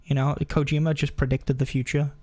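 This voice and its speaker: A man's voice: on the high side, flat delivery, brisk, dark tone, no echo. A man chatting casually on a live game stream, rambling and often interrupting himself.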